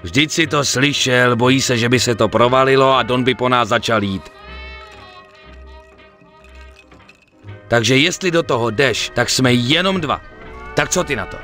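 A male actor in a dubbed video game, playing an excitable, greedy gangster sidekick who swears and wheedles. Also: medium pitch, soft background music, lightly acted. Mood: eager.

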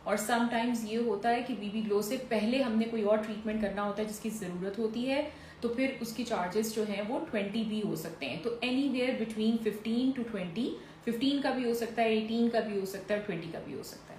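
A woman's voice: on the high side, normal speed, a little mellow. A woman doctor in her forties sits in a room and talks viewers through skincare advice in an easy, advising manner, with frequent "uh" fillers. The room sounds echoey, with a faint hiss behind her voice. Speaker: dermatologist answering viewer questions. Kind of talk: live-stream Q&A, reading comments aloud. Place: indoor room, seated in a fur-draped chair. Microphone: phone or camera on a stand, mid framing.

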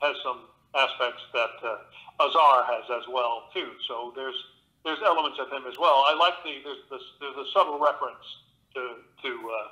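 A man with a big, bright, forward voice, chatting casually as an interview guest. He wanders through his stories, restarting sentences and filling gaps with "uh". His sound comes over a thin remote-call connection. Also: medium pitch, moderate pace, rough recording. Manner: relaxed storytelling with many fillers and restarts. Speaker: guest actor and audiobook narrator. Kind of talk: conversational interview.

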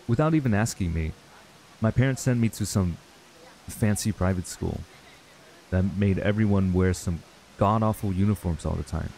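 A man acts out a wistful young man in an intimate ASMR roleplay. His voice sits low and has a dark, relaxed tone. He talks in a laid-back, casual and slightly hesitant way, as to an old childhood friend. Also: slight background noise, poor audio. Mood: bitter.

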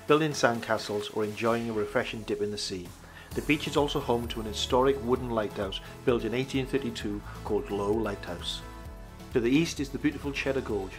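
A man reading a scripted campsite review voice-over in an even, measured way. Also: mid-range voice.

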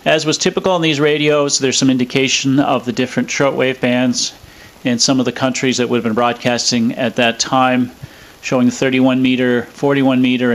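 A man explaining a demonstration in a measured, matter-of-fact way, with a radio playing. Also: mid-range voice. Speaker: presenter demonstrating a vintage radio. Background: radio playing music and stations.

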